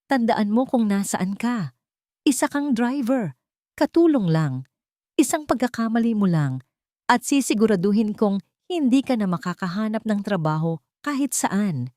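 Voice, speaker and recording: Woman voicing an arrogant, threatening rich man, animated pitch. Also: normal speed, dry recording, studio quality, lightly acted. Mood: menacing. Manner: scornful, commanding.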